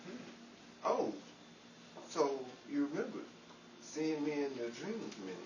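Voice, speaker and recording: A man's voice: a little high, big pitch swings, a little slow, highs cut off. Actor as flirty nightclub piano man, noisy echoey recording. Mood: flirting. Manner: terse, suggestive banter. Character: flirtatious, smooth-talking nightclub piano player, world-weary man. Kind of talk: old-time radio drama acting. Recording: roomy, distant and noisy.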